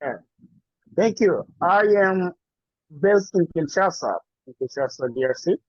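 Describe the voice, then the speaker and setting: Husky man on a video call. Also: sits high, expressive, dry recording. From Eastern Congo. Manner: halting, searching for words in English. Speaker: activist and party official. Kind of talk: self-introduction in a video meeting. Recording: dull, dry video-call audio.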